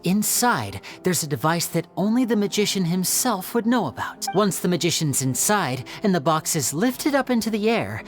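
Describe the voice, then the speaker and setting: A woman playing a poised stage magician in a video game, speaking in a theatrical, measured, confiding way. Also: on the low side. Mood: poised, explanatory. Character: poised female stage magician explaining tricks and clues. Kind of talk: scripted video game voice acting.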